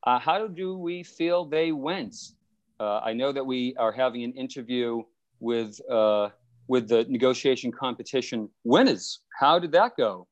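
A man's voice: medium pitch, measured pace, dull audio. A young man, a law student, hosting a podcast over a video call in an upbeat, playful way. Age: young adult. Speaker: podcast host. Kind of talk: scripted podcast intro.

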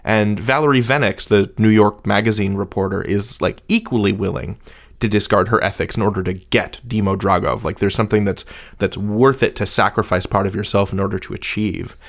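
A man's voice: lowish voice, steady tone, brisk. A man interviewing guests in a conversational style, setting up his questions with his own observations.

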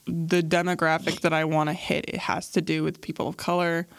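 A woman's voice: fairly low pitch, flat delivery, brisk, creaky, no echo. A young woman hosting a podcast, asking questions in a friendly, rambling way with lots of fillers. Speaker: podcast host. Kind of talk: casual interview.

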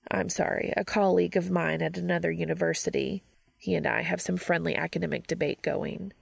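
Woman doing an earnest reserved professor guy from a story, formal, lowish creaky voice. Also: steady tone, a little fast, no room sound, clean studio sound, full character voice. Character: earnest, reserved male professor, mildly flustered.

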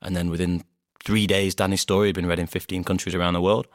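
A man from Bolton with a Bolton accent, a podcast guest telling stories in animated casual chat and acting out his own quotes. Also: deep voice, quick pace, no room sound, clean studio sound. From Bolton, England.

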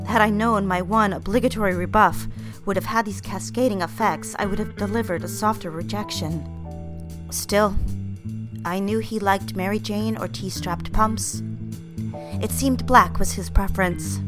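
A woman's voice: mid-range voice, lightly acted. An actress playing a proper, wounded young secretary, speaking earnestly and primly in an audio drama and confiding her story. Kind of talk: dramatic scripted narration and dialogue.

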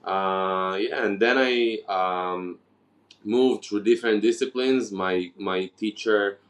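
Slow, hesitant low-voiced man. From Ukraine, raised in Israel. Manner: hesitant, many fillers and restarts. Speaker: guest. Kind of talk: casual interview conversation.

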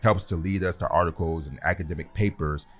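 Deep-voiced man narrating steadily. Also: fairly quick. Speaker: podcast host. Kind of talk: scripted narration and casual reactions.

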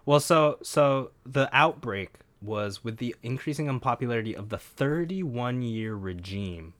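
A man's voice: medium pitch, expressive, measured pace, fairly bright tone, dry recording. A man co-hosting a casual chat podcast, reading passages aloud from a web page and breaking off for wry asides. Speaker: podcast co-host.

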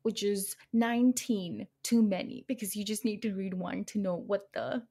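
A young woman with an Indian accent chats as a podcast guest, her voice sitting in a middle pitch. She talks loosely with lots of fillers and restarts and laughs often. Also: fairly even intonation, moderate pace, gravelly, dark tone, breathy edge. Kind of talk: casual conversation.

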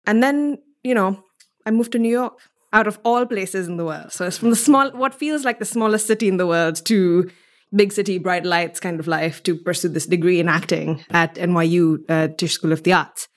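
A woman's voice: lowish voice, moderate pace. A young woman from Kenya, telling personal stories as a podcast guest in a reflective, articulate way. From Mombasa, Kenya. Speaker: podcast guest, theatre artist. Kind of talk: conversational interview, personal storytelling.

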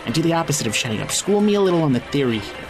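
A man's voice: a little high, very animated, racing. A man acting in an audio drama as a brash, foul-mouthed, cocky team leader, with slangy, teasing sarcasm. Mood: brisk, casual. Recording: music playing behind the dialogue.

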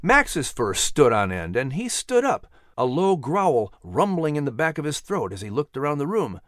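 A male storyteller reads a children's audiobook with expression. His mid-pitched voice moves up and down in a lively way, and the recording is dry, with no room sound. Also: moderate pace, dry recording. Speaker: storyteller and host.

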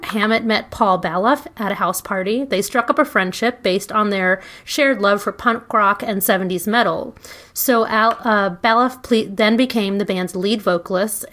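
A woman's voice: medium pitch, fairly bright tone. A middle-aged woman co-hosting a casual podcast, telling a band's history with chatty asides and frequent ums. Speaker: podcast co-host.

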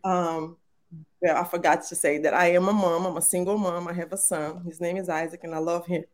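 A woman's voice: lowish voice, little pitch movement, brisk. Brazilian-accented woman telling her story. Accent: Brazilian Portuguese. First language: Portuguese. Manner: earnest, warm, faith-filled, frequent fillers. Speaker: podcast guest. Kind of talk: casual storytelling interview.

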